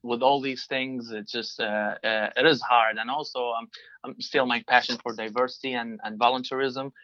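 Bright-voiced Libyan-born man, halting. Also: medium pitch, steady tone, no room sound, muffled recording. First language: Arabic. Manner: halting, many restarts and fillers. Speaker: guest, design engineer. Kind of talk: casual interview answers.

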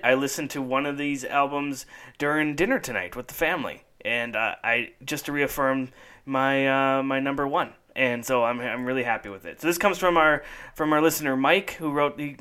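A man's voice: mid-range voice, normal speed, bright, forceful tone, clear, resonant tone. A man co-hosting a casual podcast, chatty and laughing often, repeating his words as he goes. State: feeling run down. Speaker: podcast co-host. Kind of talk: casual chat.

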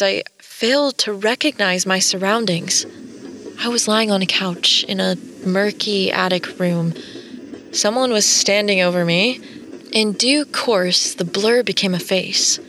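An actress playing a wry young American artist, narrating and speaking with an American accent in an audio drama. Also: female voice, medium pitch, sharp tone, a little hiss or hum. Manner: wry, sardonic.

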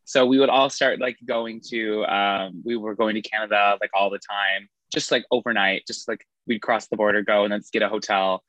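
A man's voice: on the low side, fairly even intonation, normal speed, hardly any pauses, fairly bright tone, no echo, poor audio. A man from Michigan, a podcast guest, telling stories casually and saying "like" a lot. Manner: storytelling, frequent "like". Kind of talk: casual chat.

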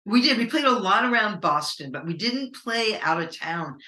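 A middle-aged American woman with a low voice, a musician talking casually in an interview, rambling and hesitant with many fillers. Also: animated, normal speed, sharp tone, roomy sound, rough recording. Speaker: musician guest.

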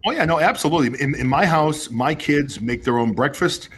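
A man's voice: a little high, lively intonation, a little fast, a bit bright, a little hiss or hum. A man in his fifties hosting a talk show, upbeat and earnest, joking now and then. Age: 50s. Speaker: radio show host. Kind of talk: casual talk show chat.

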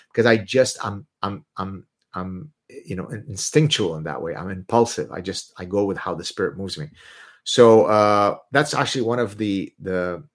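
A middle-aged man from Lebanon whose first language is Arabic, hosting a casual livestream and talking bluntly and emphatically. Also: deep voice. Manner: animated, blunt, emphatic, mocking voices when ranting. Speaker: livestream host answering viewer questions.

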